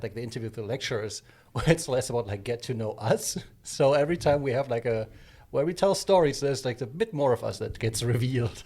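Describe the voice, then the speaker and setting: A man's voice: mid-range voice, a little mellow. A man co-hosting a podcast, chatting casually and joking in an informal way. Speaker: podcast co-host. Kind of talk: casual chat.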